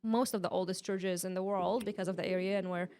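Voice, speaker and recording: A woman's voice: mid-range voice, steady tone, quick pace, a little mellow, clear, resonant tone. A woman from Iraq speaking as a podcast guest, explaining things in a casual, loosely phrased way. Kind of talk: casual interview answers.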